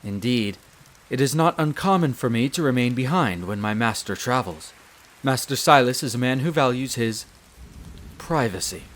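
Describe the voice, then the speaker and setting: Casting: male actor for a devoted wolf butler, head servant of a castle. Formal, polite, measured delivery, mid-range pitch, fantasy audio drama. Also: lively intonation, a bit bright, lightly acted. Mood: dutiful, composed. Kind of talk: scripted roleplay audio drama.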